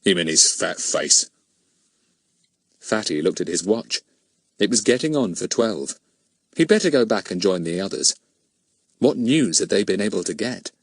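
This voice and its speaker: A man's voice: on the low side, expressive, normal speed, darkish tone, dry recording. A man reading a children's story as an audiobook narrator, in scripted narration.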